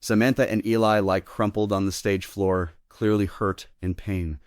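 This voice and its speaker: Guy reading stage directions, deep voice, dry close sound, scripted narrator thing. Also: flat delivery, normal speed, a little mellow, no echo.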